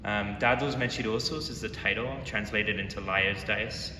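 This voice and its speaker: A young man from London talks earnestly and reflectively about his work, his voice slightly low. He is picked up from far off in a long-ringing hall, with a steady rumble of noise behind him. The sound is that of a museum gallery talk. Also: normal speed. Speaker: artist giving a gallery talk. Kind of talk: presentation talk. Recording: echoey hall, mic far off, noisy rumble.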